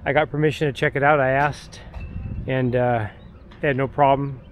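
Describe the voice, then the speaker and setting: A man's voice: medium pitch, fairly even intonation, moderate pace, slight background noise. A middle-aged man casually narrating his metal detecting outdoors in parks, thinking aloud with many fillers, recorded on an action camera. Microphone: action camera held or chest-mounted.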